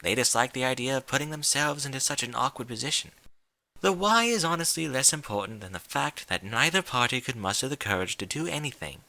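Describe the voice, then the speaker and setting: A man voicing a refined young female pony from a cartoon world in a story reading, with genteel, theatrical, gently prodding diction. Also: mid-range voice, animated, a little fast, vocal fry, dry recording. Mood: grave, dramatic.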